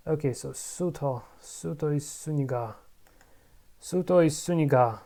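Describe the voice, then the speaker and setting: Mellow-voiced learner drilling pronunciation. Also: medium pitch, unhurried. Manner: repeats words over and over, self-correcting; muttering to self, bursts of excitement. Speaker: Korean language learner. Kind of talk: casual pronunciation practice vlog.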